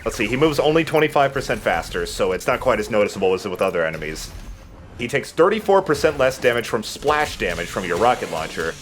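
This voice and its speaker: Male let's play host, casual, on the low side with lively intonation. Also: fast talker, a little hiss or hum. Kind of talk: casual gameplay commentary. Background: video game music.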